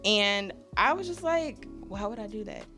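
A young woman in her twenties from Michigan, a podcast guest telling her story in a lively, casual way with frequent laughs and a light, youthful voice. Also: medium pitch, moderate pace, over music.

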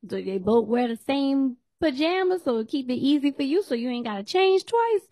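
A woman hosting a podcast in a casual chat, losing her voice and hoarse, teasing and laughing often. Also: sits high, big pitch swings, moderate pace, mellow, dry recording, clean studio sound. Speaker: podcast host.

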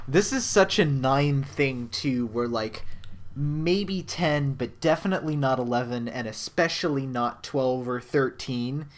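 Male co-host, mid-pitched, loose rambling delivery with casual profanity. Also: unhurried. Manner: rambling, swears freely, frequent fillers. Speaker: podcast co-host. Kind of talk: casual chat.

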